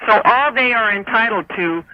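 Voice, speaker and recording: A man's voice: high-pitched, moderate pace, dry recording. A man calling a radio advice show, chatting casually over the telephone. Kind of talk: casual phone conversation. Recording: dull, slightly noisy telephone line.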